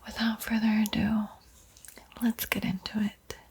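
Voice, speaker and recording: A woman giving an ASMR art tutorial in a breathy whisper, with a soft, unhurried, playfully eerie and teasing manner. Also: medium pitch, level tone, a little hiss or hum. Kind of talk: whispered ASMR painting tutorial with spooky roleplay.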